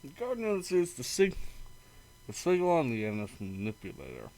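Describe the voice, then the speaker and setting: Expressive man reading a story game aloud. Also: medium pitch, fairly quick, a little hiss or hum, rough recording. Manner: expressive read-aloud delivery with stumbles and asides. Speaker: streamer reading a visual novel aloud. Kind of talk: game let's play, reading narration aloud.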